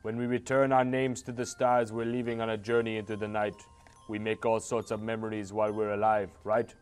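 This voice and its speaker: A man's voice: lowish voice, flat delivery, brisk, a little mellow, clear, resonant tone. An Irish man reading a game's dialogue aloud, with sarcastic, swearing asides between the lines. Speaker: host playing a game.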